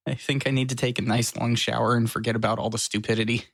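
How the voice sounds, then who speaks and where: Man, mid pitch, joking, self-mocking, casual chat, dry sound. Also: level tone, moderate pace, vocal fry, dry recording. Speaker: podcast co-host. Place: his apartment.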